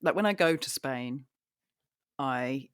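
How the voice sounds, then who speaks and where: An animated woman co-hosts a podcast, joking at her own expense. Her voice is deep for a woman but swoops up and down a lot. The recording is close and dry. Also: slow pace, smooth, no room sound. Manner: animated, self-mocking, swears casually. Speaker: podcast co-host. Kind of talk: casual chat. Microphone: podcast microphone.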